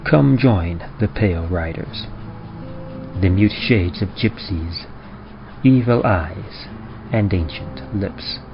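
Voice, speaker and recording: Deep, grave man reading radio horror. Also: creaky. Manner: grave, deliberate, ominous delivery. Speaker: horror story narrator and presenter. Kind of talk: dramatic old-time radio horror reading. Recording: muffled, with prominent music behind. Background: music.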